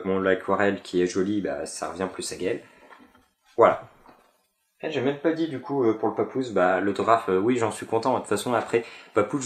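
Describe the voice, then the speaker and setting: A young man chats casually to camera in a lowish voice. He stumbles through fillers and restarts. The room around him rings with echo. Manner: hesitant, many fillers and restarts. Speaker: vlogger. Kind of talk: casual chat about a fan convention.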